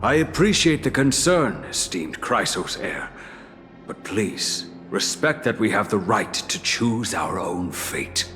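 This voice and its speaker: An actor playing a stubborn, devout priest in a video game, speaking in a grave, proud and formal declamatory manner. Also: male voice, lowish voice, animated, takes it slowly. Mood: coldly polite. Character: stubborn, devout male priest elder of a wandering people. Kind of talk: dramatic video game voice acting. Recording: long reverb under loud background music.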